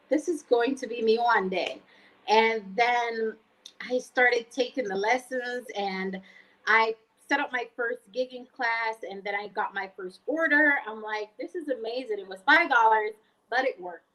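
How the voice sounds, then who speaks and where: A woman's voice: fairly high pitch, a bit bright, low-quality recording. A woman in her twenties with a Jamaican accent, telling a personal story conversationally as a guest. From Montego Bay, Jamaica. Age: 20s. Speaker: guest, online freelancer.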